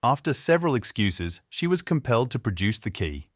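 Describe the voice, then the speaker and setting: A man's voice: mid-range voice, big pitch swings, fairly quick, no echo, clean studio sound. A man reading a scripted fairy tale as a storyteller.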